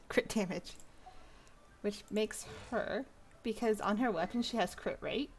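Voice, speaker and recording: A woman's voice: medium pitch, slight background noise. A woman streaming a game with casual live commentary, rambling and thinking aloud.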